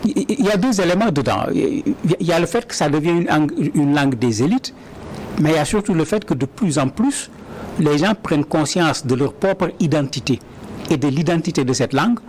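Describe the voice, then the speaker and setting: Man, interview guest, fairly high voice, earnest and stop-start, faint hiss behind. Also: expressive, fairly quick, darkish tone, low-quality recording. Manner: earnest, repeats words when starting sentences. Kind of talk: interview answers.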